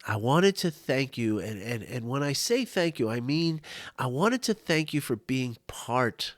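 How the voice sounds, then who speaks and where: A man's voice: mid-range voice, big pitch swings, a little slow, no echo. Middle-aged guy with a cold, nasal and stuffy, rambling podcast chat, dry close mic. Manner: rambling, repeats words, friendly. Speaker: podcast host and coach. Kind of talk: casual impromptu monologue.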